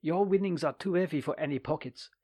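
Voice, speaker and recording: A crafty old French soldier coaxes with fussy, falsely friendly warmth, as a man reading the story puts him on. His voice sits rather high and sounds close and dry. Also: brisk, breathy edge, no room sound. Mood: bustling.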